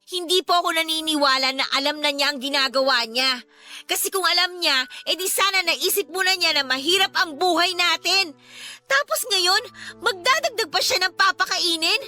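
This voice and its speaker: A woman's voice: very high-pitched, normal speed, pushed and bright, full character voice. A Filipino woman playing a frustrated, outspoken eldest daughter in a radio drama, indignant and emphatic in her delivery. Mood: frustrated, hurt. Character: frustrated, outspoken adult eldest daughter, dutiful but hurt. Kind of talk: Tagalog radio drama acting. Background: background music.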